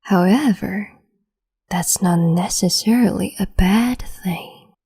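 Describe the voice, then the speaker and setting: A woman voicing a cruel, capricious empress in an ASMR roleplay, whispering softly and condescendingly with sweet menace, close to the mic. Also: medium pitch, slow, exaggerated voice. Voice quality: breathy whisper.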